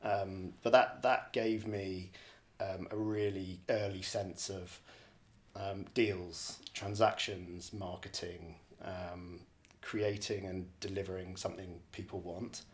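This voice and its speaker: Low, even-toned man reflecting. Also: slow. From borders of Scotland. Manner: hesitant, repeats and rephrases phrases. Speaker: podcast guest, real estate director. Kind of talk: reflective interview answer, casual.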